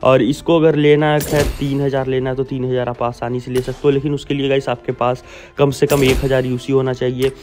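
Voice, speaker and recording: A man's voice: mid-range voice, flat delivery, a little fast, a little mellow. A young Indian man giving a casual, blunt video game update, talking informally to viewers as friends over a close mic. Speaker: gaming YouTuber. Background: background music.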